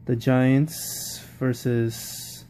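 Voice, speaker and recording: Man, casual football vlog reactions, mellow dark tone, faint hiss behind. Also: mid-range voice, flat delivery, very slow. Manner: rambling, repeats "like, seriously". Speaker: football vlogger. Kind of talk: casual post-game commentary.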